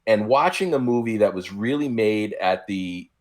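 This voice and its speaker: A man in his forties co-hosting a casual podcast, explaining his points in a measured, thoughtful way. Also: fairly low pitch, animated. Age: 40s. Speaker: podcast co-host.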